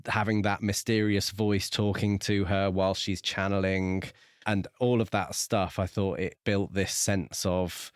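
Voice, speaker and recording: Man, lowish pitch, clean resonant tone, dry close sound, reflective podcast co-host. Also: little pitch movement, a little slow, clean tone, no room sound. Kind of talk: casual book discussion.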